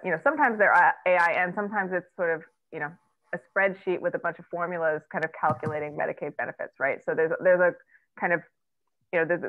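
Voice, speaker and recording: A woman's voice: fairly low pitch, no echo. A woman in her thirties answering questions over a video call from a room at home, talking with many fillers and restarts and gesturing as she goes. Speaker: keynote guest on tech ethics. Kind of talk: conversational Q&A answer. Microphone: earbud headset on a video call. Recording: dry, tinny video-call audio.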